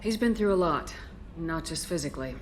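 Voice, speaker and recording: A woman's voice: deep voice, expressive, a little fast, a little hiss or hum. A woman voicing a composed, direct military commander in a video game. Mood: supportive. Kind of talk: video game dramatic dialogue.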